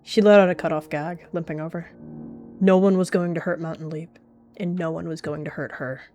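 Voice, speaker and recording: A woman narrating her character's actions in an animal roleplay in an even, measured storytelling way. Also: on the low side, soft background music. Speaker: roleplay player.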